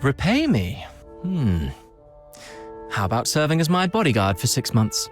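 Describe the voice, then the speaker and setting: An actor playing a suave aristocratic prince in a video game, wry and caring, with refined, theatrical formal diction. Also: male voice, mid-range voice, wildly swooping pitch, a little slow. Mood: reassuring. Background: background music.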